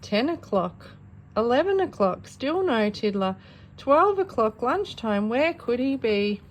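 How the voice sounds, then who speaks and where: A woman reading a children's storybook aloud in an animated, sing-song way with dramatic pauses. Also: fairly high pitch, slight background noise. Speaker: parent reading a bedtime story.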